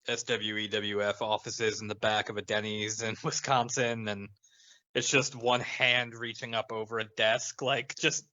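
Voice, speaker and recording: A man's voice: lowish voice, flat delivery, a little slow, sharp tone, muffled recording. A man bantering casually as a podcast co-host, with some free swearing. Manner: swears freely.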